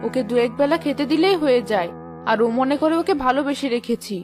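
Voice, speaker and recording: A woman's voice: high-pitched, quick pace, over music, lightly acted. A woman voicing a spiteful, scolding village aunt in a cartoon, with a nagging, scornful manner. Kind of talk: Bengali cartoon voice acting.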